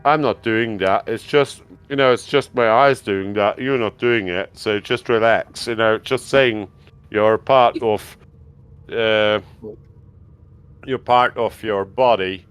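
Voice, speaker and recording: A man's voice: on the low side, takes it slowly, fairly bright tone, clean tone. A man chatting casually, rambling and hesitant with many fillers. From Austria. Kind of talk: casual chat.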